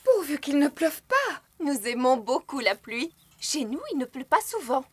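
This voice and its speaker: A gentle, sensible cartoon mum speaks French in a high, motherly voice. Her intonation is lively and the sound is close and dry. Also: measured pace, dry recording. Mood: light. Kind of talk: French children's cartoon dubbing.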